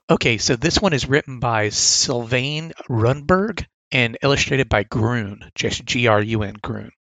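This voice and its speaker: A man's voice: medium pitch, a bit bright, dry recording, rough recording. A man with a sore throat casually reviewing comic catalog picks, reading blurbs aloud with enthusiastic asides.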